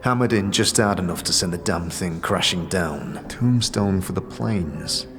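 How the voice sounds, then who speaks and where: A man playing a gruff, weary, rueful bull-necked commoner with slangy talk in a fantasy audio drama. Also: low voice, unhurried, noisy background.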